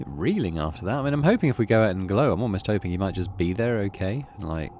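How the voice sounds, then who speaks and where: British male gaming host, lowish voice with very varied pitch, casual commentary and read-aloud narration. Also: big pitch swings, quick pace, darkish tone, a little hiss or hum. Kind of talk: casual playthrough commentary, reading game text aloud.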